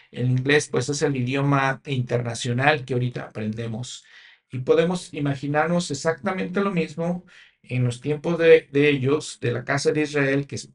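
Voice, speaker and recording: A man whose first language is Spanish hosts a religious podcast episode. His voice sits a little on the higher side. He talks through the history in a teaching manner, pausing often on "eh" and restarting his sentences. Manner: explanatory, with frequent "eh" fillers and restarts. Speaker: podcast host.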